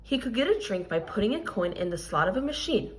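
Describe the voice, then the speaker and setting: A woman reading a children's picture book aloud like a teacher, expressive and deliberate, stressing key words and adding explanatory asides. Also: mid-range voice, a little hiss or hum.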